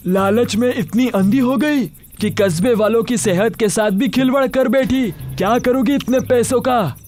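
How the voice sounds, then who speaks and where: A man plays a righteous village headman in a cartoon tale. His voice is notably high, stern and declamatory, with faint music underneath. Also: unhurried, darkish tone. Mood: scolding. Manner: declamatory, scolding.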